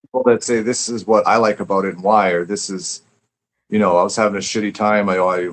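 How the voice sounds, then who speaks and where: A man's voice: lowish voice, steady tone, a little fast. A man talking casually and reflectively as a guest author, earnest and repeating words as he thinks aloud. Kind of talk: casual, reflective interview chat.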